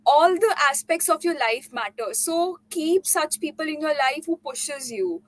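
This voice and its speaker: A woman hosting a casual chat, eager and quick to agree with her guest. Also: high voice, animated, dry recording. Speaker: host.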